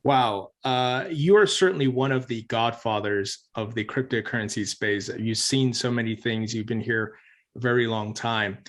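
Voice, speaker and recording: A man hosting a crypto interview channel, reading a sponsor spot and asking his guest questions in an earnest, eager way. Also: mid-range voice. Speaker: interview host.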